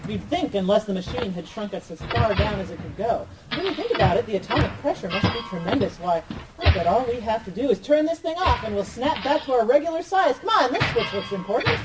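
A woman's voice: on the high side, sing-song, quick pace, far from the mic. A woman playing a breezy, reckless young inventor in a radio comedy, in a very noisy recording. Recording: very noisy background.

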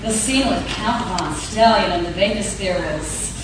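A woman's voice: mid-range voice, measured pace, close to an everyday voice. A woman playing a droll, casually wicked sidekick in a comedic radio play, dry and offhand. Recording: echoey noisy hall, far from mic.

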